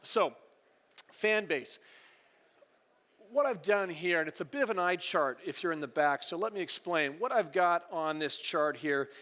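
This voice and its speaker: A man giving a business presentation at a conference, relaxed with joking asides and frequent fillers. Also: fairly high pitch, unhurried. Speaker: conference speaker, partnerships executive. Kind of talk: business presentation to a live audience. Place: conference hall. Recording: long hall reverb.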